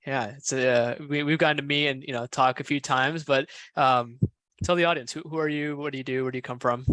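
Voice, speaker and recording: A male podcast host talks fast in a bright, forceful voice. He keeps the chat casual and upbeat, with a dry, close sound. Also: medium pitch, fairly even intonation, clear, resonant tone, no room sound. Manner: upbeat, friendly, laughs easily.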